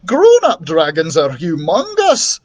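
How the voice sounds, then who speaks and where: A man's voice: fairly high pitch, wildly swooping pitch, takes it slowly, a little mellow, pitched above the performer's own voice, heavily acted. A man voicing a good-natured, childlike young male dragon in a storybook, earnest and plain-spoken. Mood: apologetic, sheepish.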